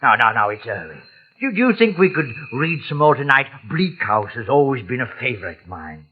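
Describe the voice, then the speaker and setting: A man's voice: mid-range voice, sing-song, fairly quick, faint music behind, lightly acted. A man playing an evasive old jungle captor. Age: older. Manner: evasive, wheedling, hesitant stammer. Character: lonely old jungle man who keeps a reader captive. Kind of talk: 1940s radio acting. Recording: dull old radio broadcast.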